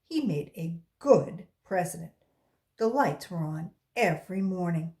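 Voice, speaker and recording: A woman reading a children's story aloud in an expressive, deliberate storytelling way. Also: lowish voice. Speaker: volunteer storybook reader.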